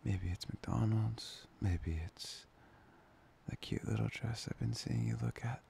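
A man playing a tender, playful boyfriend in an intimate ASMR roleplay, breathy and often slipping into a whisper. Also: on the low side, big pitch swings, measured pace, slight background noise, full character voice. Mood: gentle, coaxing. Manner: hesitant, intimate, soft-spoken. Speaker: ASMR voice performer.

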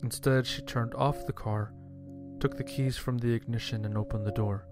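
A man narrating a scripted first-person horror story in a measured, dramatic way. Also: mid-range voice, fairly even intonation. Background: music.

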